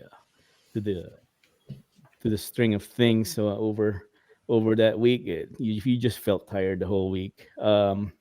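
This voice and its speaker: A man's voice: lowish voice, mellow, no room sound. Laid-back male co-host, dry mic. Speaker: podcast co-host. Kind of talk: casual chat.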